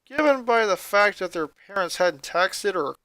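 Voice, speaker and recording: Bright, animated story narrator. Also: mid-range voice, measured pace, dry recording. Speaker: fan fiction reader.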